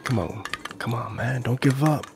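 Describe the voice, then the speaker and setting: Middle aged guy doing asmr stream chat, soft n murmury w some whispering, close mic, bit of background noise. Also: mid-range voice, normal speed, creaky. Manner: soft-spoken, drifting between murmured talk and whispers. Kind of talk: ASMR live stream, casual chat with viewers. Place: small indoor gaming room. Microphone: handheld-style recorder mic close to the mouth.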